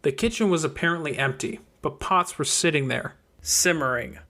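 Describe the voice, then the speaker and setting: A man's voice: on the high side, normal speed, pushed and bright. A man reading a scripted fiction audiobook as its narrator. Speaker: audiobook reader.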